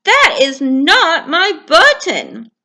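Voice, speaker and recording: Woman putting on a fussy storybook toad, high whiny voice, slow drawn-out complaints, bright tone. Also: sing-song, heavily acted. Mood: indignant. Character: fussy grumpy male toad, easily upset.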